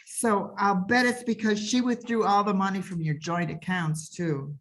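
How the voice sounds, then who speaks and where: A woman's voice: mid-range voice, fairly even intonation, normal speed, lightly acted. A woman playing a folksy, blithely apologetic servant who breaks bad news with hesitant understatement in old-time radio comedy.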